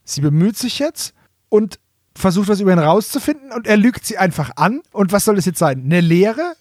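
A man's voice: high voice, very animated, moderate pace, a little mellow, no room sound, clean studio sound. A man co-hosting a casual comedy podcast, emphatic and mock-indignant, repeating himself for effect. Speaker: podcast co-host.

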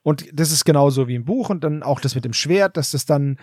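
Higher-voiced man explaining a plot. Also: brisk, a little mellow, slightly rough, no echo, clean studio sound. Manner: explains plot details, reads aloud from the book. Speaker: podcast co-host. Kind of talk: casual chat.

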